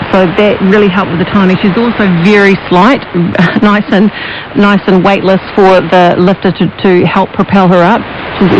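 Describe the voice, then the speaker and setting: A woman with a New Zealand accent talking conversationally in a radio interview as a sports pundit, heard over shortwave. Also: mid-range voice. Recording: dull, noisy shortwave radio reception.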